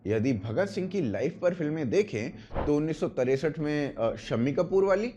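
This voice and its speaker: A man's voice: a little high, lively intonation, moderate pace, warm tone, slight background noise. A man with an Indian accent presenting a scripted explainer as host, in an emphatic, opinionated storytelling manner. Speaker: host of a video essay.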